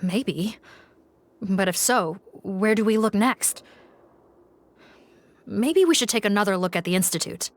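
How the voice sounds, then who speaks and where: A woman's voice: mid-range voice, lively intonation, unhurried, pauses often, slight background noise, close to an everyday voice. A woman playing a practical, serious police officer in a video game, with a businesslike, composed delivery. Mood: grim, focused.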